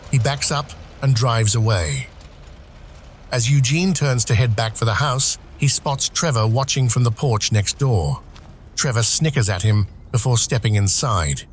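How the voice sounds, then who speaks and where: A man's voice: mid-range voice, slight background noise. A man reading scripted screenplay narration for an audio drama.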